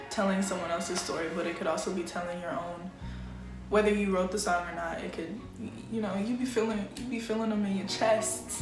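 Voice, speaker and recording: A young American woman talks informally to camera, like a vlogger introducing herself. Her pitch is average, and her phrasing is slangy, with thoughts broken off midway. The room rings noticeably around her voice, and music plays behind it. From Chicago, United States. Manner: informal, self-interrupting, slangy. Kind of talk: casual chat to camera. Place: small plain-walled room indoors. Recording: echoey room with music underneath.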